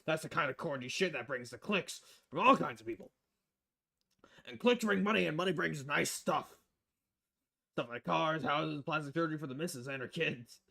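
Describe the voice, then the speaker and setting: A man's voice: fairly high pitch, lively intonation, moderate pace, vocal fry, pushed and bright, full character voice. A man voicing a crass, cynical, money-loving newspaper editor in a visual novel, brash and foul-mouthed in manner. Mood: defensive, boastful.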